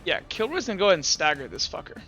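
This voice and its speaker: A young man runs a tabletop game over a voice call, his voice high and bright as he works through the rules. He talks casually, stumbles and corrects himself, then spins gory combat scenes. Faint music plays underneath. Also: big pitch swings, rapid-fire. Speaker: game master.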